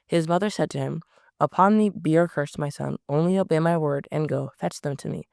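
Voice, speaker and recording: A man reading scripture aloud at a steady pace, often stumbling over words and correcting himself. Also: fairly high pitch, fairly quick, vocal fry, clean tone, no echo, clean studio sound. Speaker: Bible reader.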